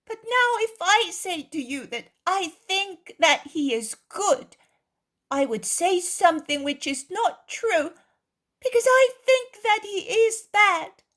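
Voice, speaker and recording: A woman's voice: very high-pitched, sing-song, a bit bright, pitched above the performer's own voice, full character voice. A woman voicing an outspoken, stubbornly honest little boy in a story, earnest and emphatic. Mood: earnest, defiant. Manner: slow, earnest, emphatic childish delivery.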